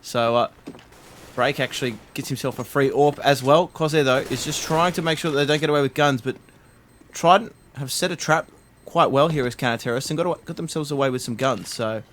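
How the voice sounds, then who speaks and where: A man casting an esports match live, steady play-by-play with frequent fillers. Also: mid-range voice, brisk, a little hiss or hum. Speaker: esports caster.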